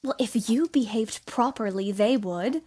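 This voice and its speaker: A woman's voice: fairly high pitch, unhurried, dark tone, husky. A woman playing a spirited, restless young woman writer, blunt but caring, in a period audio play. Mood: teasing, spirited.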